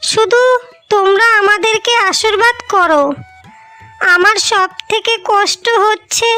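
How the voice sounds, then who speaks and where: A woman's voice: very high voice, steady tone, measured pace, sharp tone, exaggerated voice. A woman voicing a sensitive, devoted young bride in a cartoon melodrama, pleading plaintively with music underneath. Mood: concerned, sorrowful. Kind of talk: melodramatic cartoon story dialogue.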